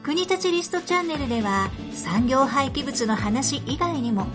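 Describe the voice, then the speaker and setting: A woman narrator reads a scripted explainer in a storytelling format. Her voice sits on the high side. Music plays clearly behind her. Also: animated, moderate pace.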